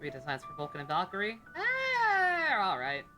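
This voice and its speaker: A woman's voice: medium pitch, wildly swooping pitch, normal speed, pushed and bright. A woman doing casual live game commentary as a streamer, chatty, sarcastic and sweary. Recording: game music behind voice. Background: video game music.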